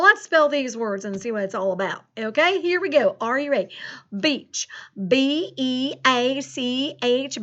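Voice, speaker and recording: A folksy, chatty farmer played by a woman leads children through a lesson in a high, playful voice. The delivery is slow and exaggerated, with a country drawl and an easily sidetracked manner. The recording sounds dull and lo-fi. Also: pushed and bright, exaggerated voice. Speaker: school teacher leading spelling practice.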